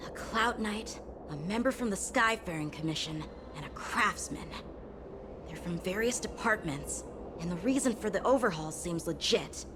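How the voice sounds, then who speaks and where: Woman voicing a cocky, animated boy swordsman. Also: fairly low pitch, moderate pace, background noise, close to an everyday voice. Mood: thoughtful. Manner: boastful, playful, easily flustered. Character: cocky, eager boy swordsman, youthful and excitable.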